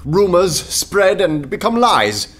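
High-voiced anarchist orator, swooping and earnest. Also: male voice, very animated, slow pace, a bit bright, full character voice. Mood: grave, earnest. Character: anarchist poet pleading meekness in a nervous election speech. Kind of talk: radio play oratory.

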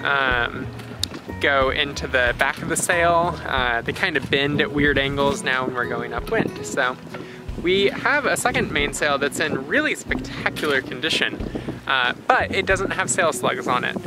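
A woman's voice: a bass voice, a little slow, music in the background. A woman in her thirties talking casually to a handheld camera on a sailboat, explaining things with plenty of fillers. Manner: relaxed, explanatory, with many fillers. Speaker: sailing vlogger. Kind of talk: casual vlog narration to camera. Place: outdoors on a sailboat on open water. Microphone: handheld camera at arm's length. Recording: loud wind noise on the microphone. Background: wind and water.

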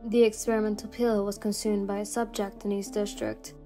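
A woman's voice: medium pitch, flat delivery, brisk, mellow, soft background music, lightly acted. A woman voicing a composed, businesslike aide who reports to a villain in a comic fan dub. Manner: formal, businesslike.

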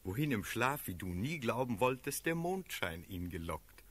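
An actor playing a brisk, sardonic count and officer in a classical German radio drama, declamatory and emphatic. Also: male voice, medium pitch, big pitch swings, a bit bright, slightly rough. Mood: reporting, wry.

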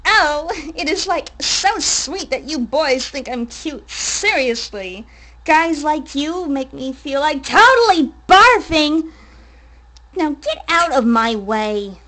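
A woman's voice: sits high, big pitch swings, slow, sharp tone, voice pushed up, heavily acted. A woman voicing a snotty, scornful teenage girl with sassy, drawling slang.

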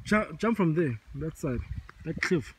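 A man's voice: on the high side, expressive, a little slow, noisy background. A young man from South Africa on a road trip, chatting and joking with his sister, filmed on a handheld phone camera. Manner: joking, playful banter. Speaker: vlogger's brother. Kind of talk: casual chat. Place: inside a car and outdoors by a dam. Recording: music playing under the voice.

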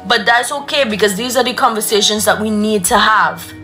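A woman's voice: mid-range voice, a little fast, sharp tone, faint music behind. A young woman talking straight to her viewers from a room, in an earnest, preachy way. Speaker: content creator. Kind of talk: casual talk to camera. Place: indoor room. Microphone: phone at arm's length.

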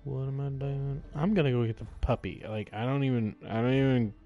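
A man's voice: mid-range voice, steady tone, fairly quick, dark tone, highs cut off, faint music behind. A man giving casual live gameplay commentary as a streamer, flippant and repetitive, with loose swearing. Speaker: game streamer.

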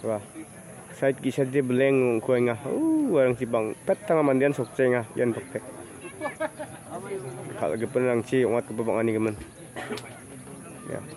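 A man's voice: mid-range voice, animated, moderate pace, pauses often, a crowd in the background. A man filming a casual walking vlog on his phone at a rural outdoor fair, chatting and greeting people as he goes. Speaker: vlogger. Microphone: handheld phone camera.

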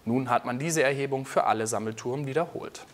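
A man's voice: mid-range voice, fast, background noise. A man in his twenties presenting scripted news in a studio. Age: 20s.

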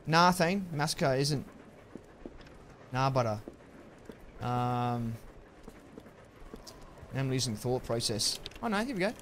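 A man in his thirties with an Australian accent, chatting casually with viewers while streaming a game on a headset mic, relaxed and chatty with sudden loud outbursts. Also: medium pitch, slow pace, long pauses, slight background noise. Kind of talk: casual live-stream chat while gaming.

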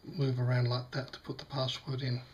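A man with a slightly croaky voice, demonstrating a device in a casual, hesitant way with many fillers. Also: medium pitch, completely flat delivery, normal speed, lo-fi sound, slight background noise. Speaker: tech unboxing vlogger. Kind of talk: casual product demo. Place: small indoor room at a table.

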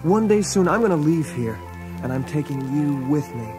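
A man's voice: on the high side, animated, normal speed, over music, lightly acted. An actor playing a restless, earnest young man frustrated with his life, in a children's storybook recording. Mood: yearning. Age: young adult. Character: restless, frustrated young trailer-park dreamer. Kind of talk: children's read-along storybook acting.

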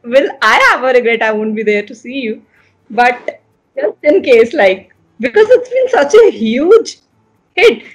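A woman's voice: fairly high pitch, expressive, measured pace, slight background noise. A young woman hosting an entertainment interview over a video call, asking chatty, enthusiastic questions. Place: indoor room. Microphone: laptop camera on a video call.

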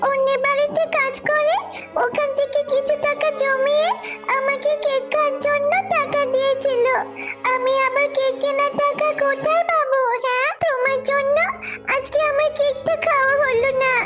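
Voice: extremely high pitch, flat delivery, normal speed, loud music behind the voice. A performer voicing a poor, whiny and eager little girl in a children's cartoon story. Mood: upset, scolding.

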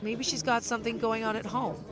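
A woman's voice: on the high side, fairly even intonation, fairly quick, crowd noise, lightly acted. A woman playing a curious, chatty young woman in an amateur audio drama, speaking in an inquisitive, conversational way.

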